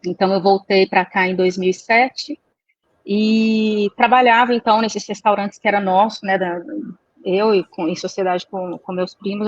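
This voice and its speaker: A woman, a guest answering interview questions in a measured, reflective way. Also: mid-range voice, dry recording. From Minas Gerais, Brazil. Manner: measured, reflective, searching for words. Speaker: guest, chamber of commerce executive. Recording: dry, dull recording.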